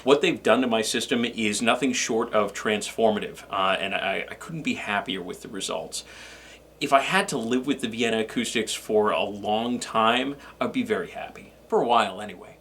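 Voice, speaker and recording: A man co-hosting a product review, delivering scripted information in a measured, somewhat formal way. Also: lowish voice, fairly bright tone, slight background noise. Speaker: show co-host and reviewer.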